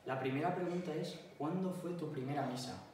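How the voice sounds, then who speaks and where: A young man with an accent from Spain puts casual questions as an interviewer. His voice sits at a middling pitch. The room echoes heavily and he sounds slightly distant, with faint noise behind. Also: fairly quick, darkish tone. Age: teens to young adult. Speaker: interviewer, YouTuber. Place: indoor hall with wooden bench. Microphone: small handheld mic.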